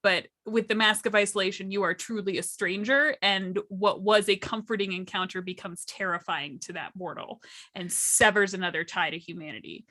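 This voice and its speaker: A woman on a casual gaming panel, speaking expressively and thoughtfully, with pauses to find her words. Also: medium pitch, fairly even intonation, pushed and bright.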